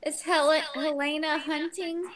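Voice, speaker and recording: High-voiced woman in casual conversation. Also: level tone, a little slow, crowd noise. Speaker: book club member. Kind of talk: casual book discussion.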